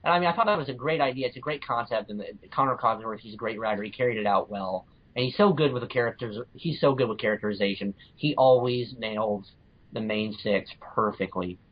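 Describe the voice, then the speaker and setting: A man's voice: mid-range voice, brisk. A man co-hosting a podcast, giving an earnest, casual review and repeating words as he thinks. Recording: dull lo-fi podcast audio.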